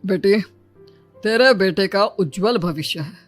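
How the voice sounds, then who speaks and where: Solemn cartoon priest female performer, measured prophetic delivery, middle register, music underneath. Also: medium pitch, natural voice.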